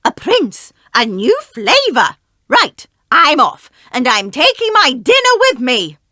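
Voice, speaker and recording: A man reading a storybook and voicing a wicked, gloating female witch in a high, shrill, sly voice. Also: wildly swooping pitch, slow, bright, forceful tone, no echo, studio quality, full character voice. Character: cackling wicked witch disguised as doctor.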